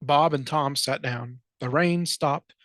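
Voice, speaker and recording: A storyteller reading a children's picture book aloud in an expressive read-aloud style. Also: mid-range voice, takes it slowly, no echo, studio quality. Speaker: librarian, storytime reader.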